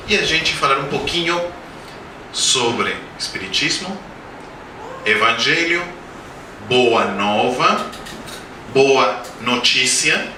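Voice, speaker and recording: A man in his forties, a native Spanish speaker with a Spanish-speaking accent, giving a humorous spiritist lecture full of anecdotes and questions to the audience. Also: medium pitch. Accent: Spanish-speaking accent in Portuguese. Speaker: guest speaker at a spiritist center. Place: lecture hall. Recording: roomy hall, distant mic, background noise.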